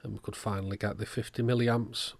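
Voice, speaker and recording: Low, creaky-voiced man explaining repairs. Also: flat delivery, a little fast, a little hiss or hum. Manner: methodical, step-by-step. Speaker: electronics repairer, channel host. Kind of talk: hands-on repair demonstration narration.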